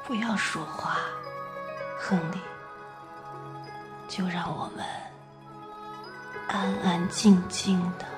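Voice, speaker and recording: A woman acting a tender, weary woman making peace with an old love in a radio play, hushed and deliberate. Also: medium pitch, close to an everyday voice. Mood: tender, sorrowful. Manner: slow, hushed, deliberate, pausing between short phrases. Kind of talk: amateur radio drama reading of a stage play. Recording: zither music behind. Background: zither music.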